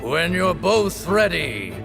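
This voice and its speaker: A man playing a solemn officiant of a ritual duel in a video game, formal and measured as he proclaims. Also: high voice, very animated, vocal fry, heavily acted. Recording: long hall reverb, music behind.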